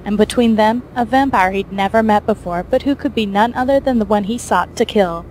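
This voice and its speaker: Female narrator for scripted fiction, medium pitch, amateur recording with slight noise. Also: a little fast, darkish tone, rough recording.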